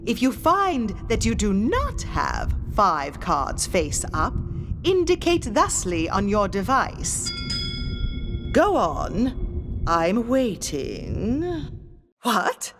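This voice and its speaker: A woman's voice: medium pitch, wildly swooping pitch, music in the background, heavily acted. A woman playing an imperious, teasing ghostly spirit medium, leading a ritual in a formal, mysterious audio drama style. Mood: ominous, challenging, then shocked disbelief. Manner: slow, dramatic, mysterious, commanding delivery with formal old-fashioned wording. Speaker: séance guide leading a card ritual. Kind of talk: scripted theatrical audio drama narration.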